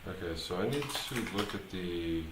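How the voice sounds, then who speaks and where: A man thinking aloud as he live-streams his programming work, talking casually and haltingly, muttering to himself as he goes. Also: deep voice, animated. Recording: roomy echo, clear background noise, typing.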